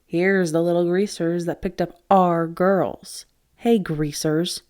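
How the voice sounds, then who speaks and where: A woman's voice: fairly low pitch, fairly even intonation, darkish tone. A woman putting on a drunk sneering teen bully. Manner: slow, unsteady, taunting. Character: drunk, sneering rich teenage boy bully.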